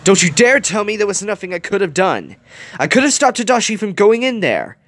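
A man's voice: lowish voice, sing-song, normal speed, a bit bright, heavily acted. A man voicing a blunt, guarded young woman in a dramatic story, curt and defensive. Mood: furious, anguished.

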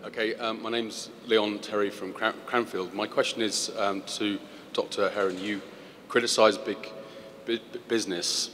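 A man with a lowish voice asks his question hesitantly, stumbling on a word or two. He sounds off-mic and distant in a reverberant hall with a faint rumble. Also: steady tone, normal speed, a bit bright. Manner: hesitant, stammering. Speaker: audience member asking a question. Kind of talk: conference question. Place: large conference hall.

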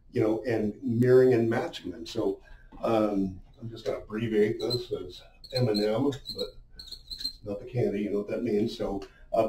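A man's voice: on the low side, mellow. A middle-aged man giving an informal, conversational lesson as a sales coach, explaining things with frequent asides. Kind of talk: informal instructional talk at a whiteboard. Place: small indoor room with a whiteboard. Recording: roomy, muffled, distant-sounding echo.

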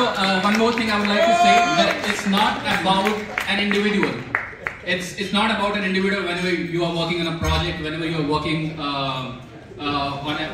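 Young man, native Hindi speaker, fairly high voice, hesitant award speech, distant mic in a big echoing hall. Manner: hesitant, many fillers and restarts. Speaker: award recipient giving acceptance speech. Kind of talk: impromptu acceptance speech. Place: large banquet hall with stage. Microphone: handheld mic heard from far in the room. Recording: echoey hall, recorded from far off. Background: audience chatter and noise.

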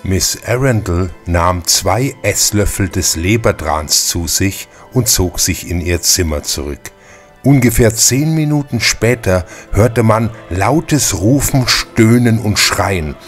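A man's voice: on the low side, expressive, slow pace, faint music behind. A man narrating a retro crime radio play as a scripted storyteller.

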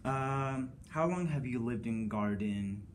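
A man's voice: mid-range voice, takes it slowly, slight background noise. A man who interviews a teacher, with hesitant questions full of "um" and "uh". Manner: hesitant, many "um" and "uh". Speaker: student interviewer. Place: school conference room.

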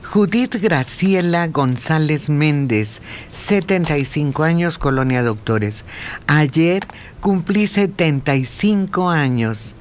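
Slow, higher-voiced man reading messages. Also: slight background noise. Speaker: radio co-host reading listener messages.

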